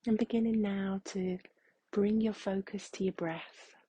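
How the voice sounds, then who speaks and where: A woman leading a guided meditation in a soft, hushed, breathy voice, gently and with long unhurried pauses. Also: mid-range voice, fairly even intonation.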